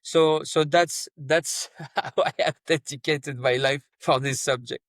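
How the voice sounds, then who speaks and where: A man's voice: on the high side, fairly even intonation, unhurried, fairly bright tone, no echo. Brief: man, earnest guest, stumbles and restarts, dry close sound. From France. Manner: earnest, repeats words and restarts often. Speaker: startup founder, guest. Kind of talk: conversational interview.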